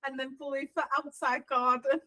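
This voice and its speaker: A woman's voice: high voice, little pitch movement, moderate pace. A woman with a Liverpool accent co-hosting a podcast, chatting and laughing often. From Liverpool, England. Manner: giggly, agreeing, warm back-and-forth. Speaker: podcast co-host. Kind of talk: casual chat.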